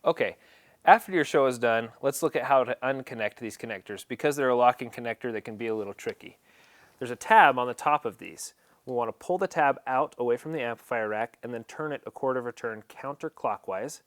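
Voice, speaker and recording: A man's voice: mid-range voice, dry recording. A man presenting an instructional equipment setup tutorial, explaining each step in a plain, practical way.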